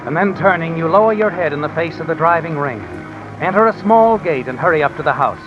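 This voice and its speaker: A man playing an ominous, all-knowing suspense narrator, telling the tale deliberately to the guilty character in 1950s radio style. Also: on the high side, animated, music in the background, natural voice. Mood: hushed suspense. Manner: hushed, deliberate, dramatic second-person telling. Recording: muffled noisy vintage radio, rain behind.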